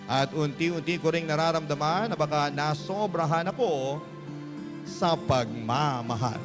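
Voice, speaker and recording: Higher-pitched male storyteller over music. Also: unhurried. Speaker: radio host and letter narrator. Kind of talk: dramatic scripted storytelling. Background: background music.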